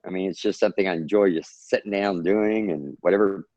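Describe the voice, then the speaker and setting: A man's voice: low-pitched, fairly even intonation, fairly quick, darkish tone, no room sound. A man from Texas, a guest author talking earnestly and at length in a casual interview, giving encouraging advice.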